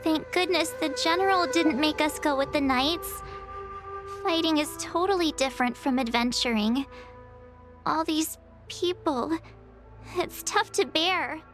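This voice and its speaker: Squeaky, excitable little girl adventurer. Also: unhurried. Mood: relieved, uneasy. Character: small, excitable, chatty young girl adventurer. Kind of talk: anime game voice acting. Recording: music behind the voice.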